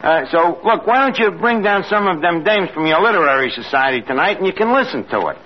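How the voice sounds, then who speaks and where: An actor playing a scheming, slangy working-class wisecracker in an old radio comedy. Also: male voice, sits high, expressive, a little fast. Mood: cajoling. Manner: ungrammatical, brash wisecracking. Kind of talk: 1950s radio comedy acting. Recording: muffled noisy old radio recording.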